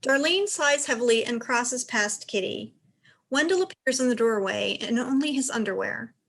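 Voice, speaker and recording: A woman's voice: a little high, expressive, normal speed, gravelly, a bit bright. A woman giving scripted narration, reading out the stage directions of a play.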